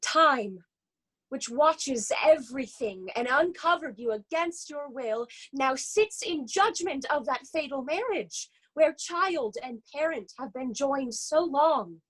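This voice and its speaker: Slow, high, mournful chorus voice of a woman. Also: lively intonation, fairly bright tone, exaggerated voice, rough recording. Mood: mournful, solemn. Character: mournful Theban elder of the tragic chorus. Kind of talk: solemn theatrical verse reading.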